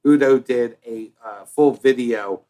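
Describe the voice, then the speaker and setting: Male podcast host, medium pitch, animated, conversational interviewer. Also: unhurried. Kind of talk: casual interview chat.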